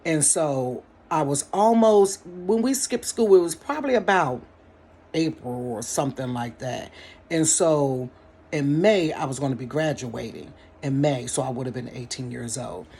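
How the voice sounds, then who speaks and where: A woman's voice: extremely low pitch, measured pace, husky. Laughing woman telling a story. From Cincinnati, United States. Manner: animated, laughs often, talks straight to the viewers. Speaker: storyteller vlogger. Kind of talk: casual storytime monologue to viewers. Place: her bedroom.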